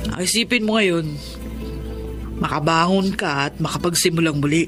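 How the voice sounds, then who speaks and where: Actress playing tomboyish woman, caring landlady, gentle, reassuring, radio drama, light background music. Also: deep voice, moderate pace, natural voice. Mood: consoling. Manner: gentle, consoling. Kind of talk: Tagalog radio drama acting.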